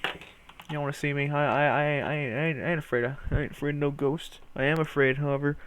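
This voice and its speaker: A man's voice: on the high side, fairly even intonation, a little fast, background noise. A man with a nasal voice rambling casually and playfully to his viewers, swearing now and then. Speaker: streamer talking to viewers. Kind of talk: casual rambling chat to camera.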